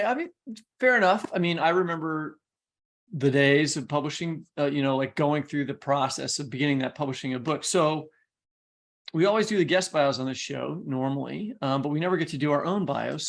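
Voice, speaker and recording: A man's voice: fairly high pitch, a little fast, gravelly. A man co-hosting a podcast in casual conversation, rambling and correcting himself as he goes, with a teasing way about him. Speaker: podcast co-host and interviewer.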